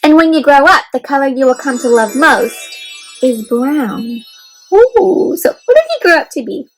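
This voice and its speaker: A woman hosting an interactive read-aloud for children, expressive and encouraging like a teacher, explaining simply and putting questions to her listeners. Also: high-pitched, normal speed, faint music behind, low-quality recording.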